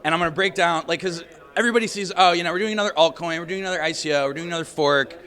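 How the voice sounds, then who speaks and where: A man interviewing a guest as a friendly skeptic, talking fast and swearing casually. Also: fairly high pitch, pushed and bright. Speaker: interviewer, startup founder.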